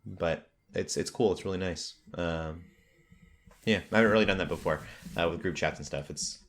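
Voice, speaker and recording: Low-voiced, low-key male podcast host. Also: normal speed. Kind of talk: casual chat.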